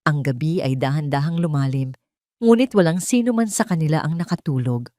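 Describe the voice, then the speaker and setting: A woman with a Filipino accent reads a dramatic story as its narrator. Her voice sits a little low. The sound is dry, with hardly any room around it. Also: expressive, a little fast, mellow, no echo.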